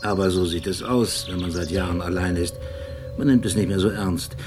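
An actor playing an obsessive, brooding police detective who narrates a radio play in a deliberate, precise, philosophical manner. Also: male voice, low-pitched, darkish tone, close to an everyday voice. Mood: low-key, insistent. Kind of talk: radio play acting and literary monologue. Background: music.

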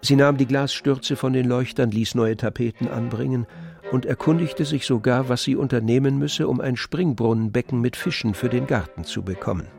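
A man narrates a scripted literary radio play in a medium-pitched voice. Music plays clearly behind his storytelling. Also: moderate pace, darkish tone.

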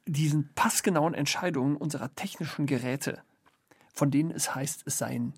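An actor playing an ironic, brooding male intellectual in a literary radio play, with a deliberate, wry delivery. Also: a little high, husky, no echo, clean studio sound. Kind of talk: literary radio play, scripted three-way conversation.

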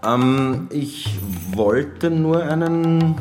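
An actor playing a hesitant, evasive grown son, speaking haltingly in a radio drama. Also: male voice, a little high, darkish tone, faint music behind.

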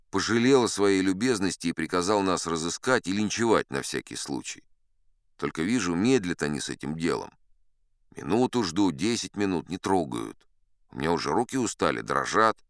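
A man's voice: low-pitched, a bit bright, clean tone, no room sound, studio quality, heavily acted. A man playing an older sea captain who spins a yarn in a dramatized audiobook, wry, boastful and conversational. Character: old sea captain storyteller, folksy, boastful, dignified.

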